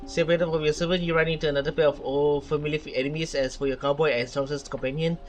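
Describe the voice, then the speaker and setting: A male gaming streamer with a slightly high voice reads story text aloud. His delivery is theatrical and dramatic, as if narrating a play. Game music runs behind him. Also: level tone, rapid-fire. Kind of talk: reading game dialogue aloud while reacting.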